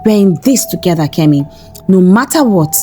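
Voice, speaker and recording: A woman's voice: on the low side, expressive, moderate pace, mellow, faint music behind, natural voice. A woman voicing a caring, troubled young husband in a folktale, speaking earnestly. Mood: reassuring.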